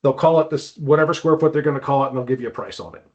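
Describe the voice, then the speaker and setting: A man's voice: mid-range voice, lively intonation, very fast. Dull-sounding man explaining shop talk informally. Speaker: guest climbing wall consultant. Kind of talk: conversational expert explanation in an interview. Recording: dull, lo-fi audio.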